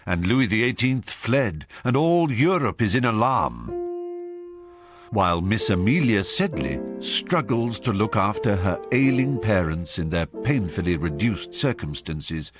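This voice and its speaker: An actor playing a measured, wry storyteller, narrating a period radio drama. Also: male voice, fairly low pitch, very animated, over music. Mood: measured, grave. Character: measured, wry male storyteller of Regency society.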